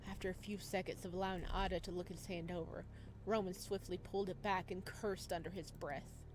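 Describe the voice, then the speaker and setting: Woman narrating over soft background music. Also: on the low side, steady tone, fairly quick, low-quality recording. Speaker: visual novel let's player. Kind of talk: dramatic read-aloud narration.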